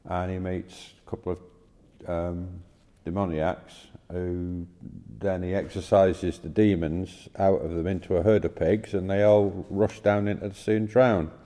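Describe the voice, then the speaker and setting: A man co-hosting a podcast, reading and discussing scripture in a halting, tentative way. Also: deep voice, level tone, dark tone. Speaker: podcast co-host. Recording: reverberant room with long echo.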